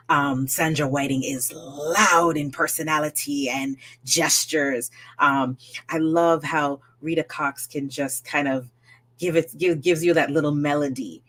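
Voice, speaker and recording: A woman storyteller speaking as an interview guest, in an animated, expressive way. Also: very deep voice. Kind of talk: casual interview talk.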